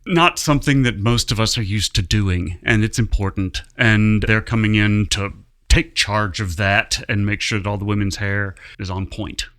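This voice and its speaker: A man's voice: fairly low pitch, moderate pace, fairly bright tone. A man speaking casually and conversationally as a guest in an interview. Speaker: theatre director, interview guest. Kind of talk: casual chat.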